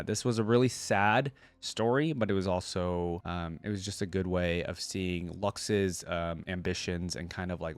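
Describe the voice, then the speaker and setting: A man's voice: on the low side, no echo. A man hosting a reaction video with informal, conversational commentary. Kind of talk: casual commentary and story read-aloud.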